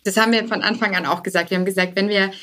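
A woman's voice: medium pitch, steady tone, very fast, a bit bright. A woman speaking conversationally as a founder being interviewed on a podcast, dropping English business terms into her talk. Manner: mixes English business jargon into speech.

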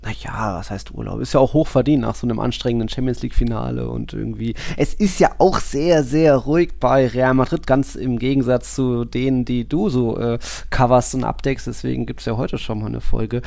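A man's voice: mid-range voice, steady tone, brisk, creaky, few pauses, darkish tone, no room sound. A man co-hosting a football podcast, chatting casually in a loose, colloquial way. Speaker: podcast co-host.

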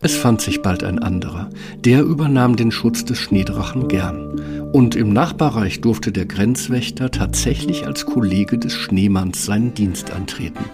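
A man's voice: fairly low pitch, unhurried. Male fairy-tale narrator over music. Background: instrumental music.

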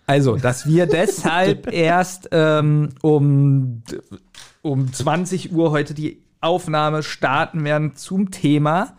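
A man's voice: on the high side, takes it slowly. A man talking casually as a podcast co-host.

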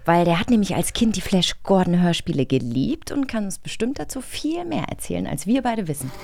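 A woman's voice: on the low side, sing-song, fairly quick, gravelly, darkish tone, no echo, studio quality. A woman co-hosting a podcast in casual, playful conversation. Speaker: podcast co-host. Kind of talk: casual chat.

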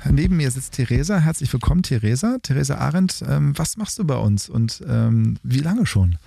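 A man's voice: medium pitch, lively intonation, normal speed, vocal fry, warm tone, soft background music. A man hosting an interview podcast, talking hesitantly with many fillers. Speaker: podcast host.

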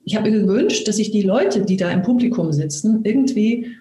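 Sanfte, nachdenkliche Frau, halliger Raum. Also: female voice, mid-range voice, lively intonation, reverberant. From Germany. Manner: thoughtful, searching, soft-spoken with many pauses. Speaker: actress and co-host. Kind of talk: reflective conversation.

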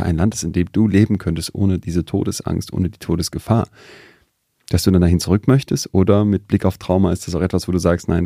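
A man's voice: deep voice, creaky, dark tone, slightly rough, no echo, studio quality. A man hosting an interview, asking earnest, empathetic questions. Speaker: podcast host.

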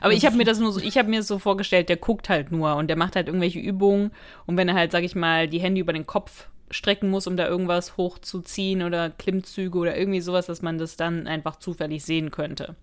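Woman cohosting a podcast, voice a bit on the low side, just chatting. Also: steady tone, quick pace. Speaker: podcast co-host. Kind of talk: casual chat, commenting on an audio drama.

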